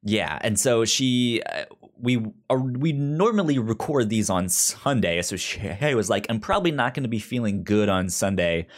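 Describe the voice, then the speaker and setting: Animated stammering male podcast host. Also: lowish voice, normal speed. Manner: animated, stumbling restarts and repeated words. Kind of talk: casual podcast chat. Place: indoors, in a jacket.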